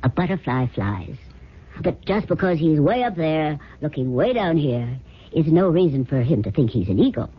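A worldly secretary mistress in a vintage radio drama. She is husky, easygoing and familiar, needling her man with dry, skeptical affection. The sound is dull and dated. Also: deep voice, lively intonation, moderate pace. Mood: gently mocking. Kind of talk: 1970s radio drama acting. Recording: muffled old radio broadcast.

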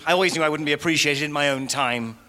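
A pompous failed writer, echoey hall. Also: male voice, on the high side, fast talker, sharp tone. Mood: grandiose. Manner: pompous, stammering when flustered. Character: vain self-pitying failed writer from the past. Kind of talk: live audio comedy acting. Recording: echoey hall, live stage.

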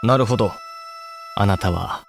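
A man's voice: on the low side, a little slow, vocal fry, music in the background. A man playing an earnest, self-deprecating young samurai lord in a Japanese period audio drama, speaking in formal archaic style. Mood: bashful, wry.

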